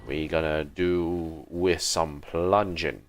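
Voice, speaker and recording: A man from Malaysia giving low-key, muttered, casual commentary as he hosts a video game livestream. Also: fairly even intonation, slow pace, faint music behind. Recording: clearly noisy mic with clicks.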